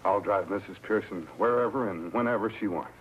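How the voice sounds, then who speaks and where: An American actor playing an earnest, bitterly restrained chauffeur with a troubled past in a 1950s crime drama. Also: male voice, lowish voice, a little fast, lo-fi sound, slight background noise, close to an everyday voice. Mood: deferential. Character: lovesick, bitter, deferential male chauffeur with prison past. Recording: old soundtrack under loud music.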